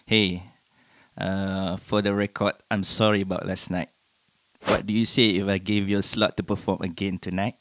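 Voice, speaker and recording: An actor playing a protective young man, an earnest, emphatic brother figure in an animated comic drama. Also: low-pitched, moderate pace, a little hiss or hum, close to an everyday voice. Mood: subdued, apologetic. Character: protective older brother, worried and scolding. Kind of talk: animated motion comic voice acting.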